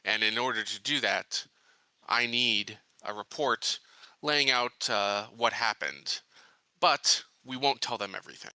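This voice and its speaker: An earnest, secretive colony marshal, acted by a man in a tabletop game. He speaks deliberately and haltingly, pressing his case. The tone is very bright and the sound is dry. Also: mid-range voice, no echo, low-quality recording. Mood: grave persuasion.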